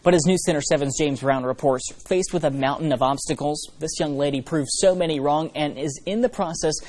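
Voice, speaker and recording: A man's voice: fairly high pitch, a little fast, darkish tone. A man presenting scripted news as a television anchor.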